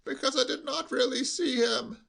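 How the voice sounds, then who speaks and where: A man reading a story aloud and voicing a timid old male butler with a high, bleating, quavering voice and a slow, deferential, hushed way of talking. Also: vocal fry, exaggerated voice. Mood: timid, confiding.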